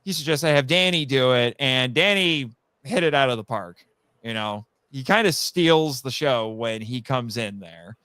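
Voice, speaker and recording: A man chatting casually as a guest on a live podcast, telling stories in a rambling, animated way and acting out quoted remarks. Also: mid-range voice, normal speed, clean tone, no room sound. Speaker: guest, audio drama writer.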